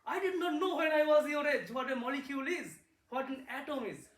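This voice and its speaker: A man's voice: very high-pitched, fairly quick, fairly bright tone. Indian-accented male researcher, friendly classroom talk, echoey room, far mic. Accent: Indian English. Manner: simple words, asks the kids questions, repeats words for emphasis. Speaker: physics researcher giving a talk to children.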